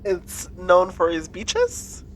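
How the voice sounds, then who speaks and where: A woman's voice: fairly low pitch, huge pitch swings, slow, slight background noise, rough recording. Woman, podcast co-host, casual chat, teasing, quiz-style, laughs often.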